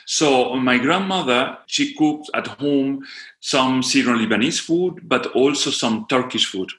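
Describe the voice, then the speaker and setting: A man's voice: medium pitch, a little slow, fairly bright tone, echoey room. A man from Venezuela with a Venezuelan Spanish accent, chatting casually as an interview guest and often restarting and searching for words.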